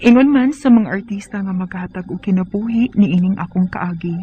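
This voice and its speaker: A woman's voice: medium pitch, brisk, narrow, tinny audio, a little hiss or hum, lightly acted. An actress playing an earnest, troubled young pregnant woman with a Filipino Cebuano accent in a radio drama. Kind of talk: Philippine radio drama acting.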